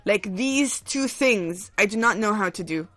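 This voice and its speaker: A woman's voice: mid-range voice, a little slow, no echo, soft background music. A woman co-hosting a radio show, chatting casually and making her points with emphasis. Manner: animated, emphatic, repeats points. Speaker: radio co-host.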